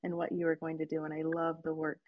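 Soft-spoken woman guiding a breathing practice. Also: low-pitched, completely flat delivery, phone-quality sound. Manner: soft, slow, inviting. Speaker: host leading a guided breathing session. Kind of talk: guided breathing and reflection. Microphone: online call.

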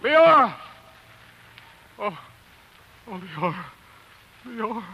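A man's voice: high voice, big pitch swings, takes it slowly, creaky, lo-fi sound, very noisy, exaggerated voice. An American man acting in a 1940s radio drama as an earnest, grief-stricken doctor telling his own tragic story, on a vintage broadcast recording. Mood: anguished.

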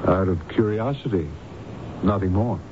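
A man playing a measured, thoughtful doctor in a radio mystery drama. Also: fairly low pitch, close to an everyday voice. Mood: measured concern. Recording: dull, noisy old radio recording.

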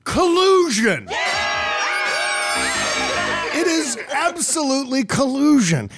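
A man's voice: very high voice, big pitch swings, slow pace, raised voice, loud music behind the voice, a loud crowd. An American man hosting political talk, commenting in an emphatic, informal and profane way. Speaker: podcast host. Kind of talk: political commentary with casual chat.

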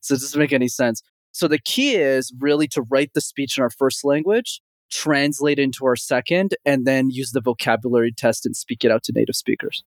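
A man's voice: medium pitch, normal speed, dry recording. A young man from Montreal, Canada, a communication coach answering interview questions with confident energy and often saying the host's name. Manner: energetic, confident, frequently addresses host by name.

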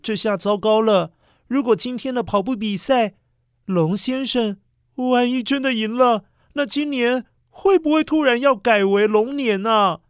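A woman's voice: a little high, a little slow. A woman telling a scripted story to young children, speaking expressively and addressing them directly.

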